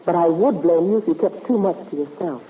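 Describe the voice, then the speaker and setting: A woman's voice: fairly low pitch, fairly quick, lightly acted. Level-headed, concerned female sleuth's assistant played by a woman, vintage radio drama, muffled with faint noise. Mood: earnest, reassuring. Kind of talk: 1950s radio drama acting. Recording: muffled, noisy 1950s radio recording.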